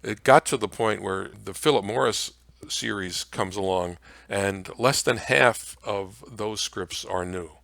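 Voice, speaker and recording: A man presenting an informal slide lecture on old-time radio history in a halting way, with frequent hesitations. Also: lowish voice, creaky, fairly bright tone, no echo.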